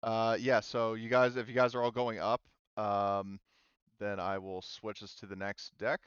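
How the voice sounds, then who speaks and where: Male, game master, smooth voice, halting casual narration, dry slightly dull recording. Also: on the low side, level tone, a bit bright, no echo. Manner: halting, informal explaining with frequent "like".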